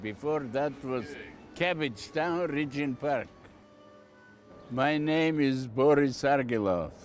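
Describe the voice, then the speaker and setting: A man's voice: medium pitch, expressive, slow, soft background music. An older man from Bulgaria with a Bulgarian accent, telling anecdotes casually and plainly as a shopkeeper being interviewed. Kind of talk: casual oral storytelling.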